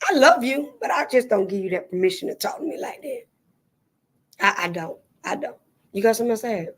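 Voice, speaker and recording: A woman's voice: a little high, very animated, fairly quick, breathy edge. A woman speaking candidly and emphatically, with a preachy, repetitive cadence. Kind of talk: candid testimony-style chat.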